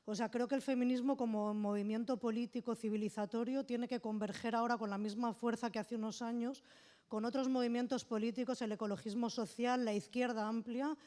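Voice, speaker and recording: A woman's voice: a little high, steady tone, fairly quick, warm tone. A woman from Madrid giving earnest, emphatic political remarks from the floor of an assembly in a conference hall. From Madrid, Spain. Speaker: assembly participant.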